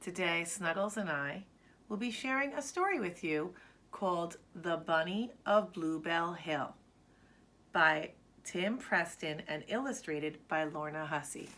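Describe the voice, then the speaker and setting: Woman, children's storyteller, unhurried pace, slightly low pitch, expressive, dramatic emphasis. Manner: slow, animated, dramatic emphasis. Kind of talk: expressive children's read-aloud narration.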